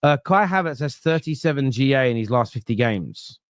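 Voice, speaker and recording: Man, podcast host, casual football chat, mid pitch, dry studio sound. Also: lively intonation, clear, resonant tone, no room sound. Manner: rapid, self-correcting, counts stats aloud.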